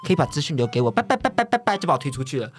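A man's voice: a little high, very animated, rapid-fire, soft background music. A man with a Taiwanese Mandarin accent chatting candidly as a co-host, telling stories in a campy, self-mocking way and dropping in English phrases. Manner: drops English phrases into speech. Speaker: radio show co-host. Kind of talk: casual candid chat and storytelling.

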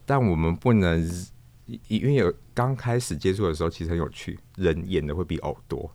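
Taiwanese guy being interviewed, deep voice, thinks out loud and stumbles over words, really dry recording. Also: no echo. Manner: halting, thoughtful, searches for words and repeats himself. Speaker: guest, theatre performer, puppeteer and director. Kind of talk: reflective interview conversation.

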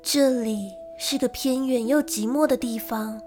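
An actress playing a lonely young gentlewoman who tells of a frightening childhood in an emotive radio drama style. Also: on the high side, steady tone, unhurried, soft background music, close to an everyday voice. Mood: wistful. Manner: storytelling, emotive.

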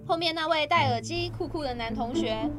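A woman's voice: high voice, fairly quick, loud music behind the voice, lightly acted. A woman voicing a cheerful tour guide speaking upbeat to a group of students. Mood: guiding.

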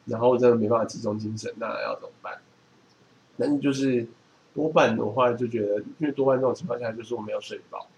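A man's voice: medium pitch, fairly even intonation, quick pace, a little mellow. A middle-aged man with a Taiwanese Mandarin accent chatting casually as a podcast co-host, explaining things in a matter-of-fact way. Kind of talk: casual chat. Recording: clearly noisy background.

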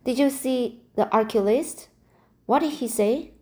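A woman's voice: a little high, a little slow, dark tone, pitched above the performer's own voice, natural voice. A woman reading the lines of an anxious young girl trying to sound brave.

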